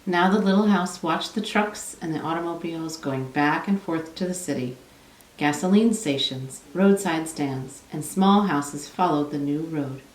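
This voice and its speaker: A woman's voice: sits low, measured pace. A woman reading a children's storybook aloud as narrator. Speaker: storybook reader. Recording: echoey room, distant from mic.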